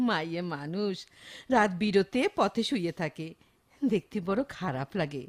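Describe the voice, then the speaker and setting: A woman's voice: on the low side, lively intonation, measured pace, a little mellow. An actress playing a doting, fretful old grandmother with a rural Bangladeshi accent in a radio drama, exclaiming and scolding dramatically. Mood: wistful. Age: older. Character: doting, fretful old village grandmother, a widowed mother-in-law. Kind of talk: Bengali radio drama acting.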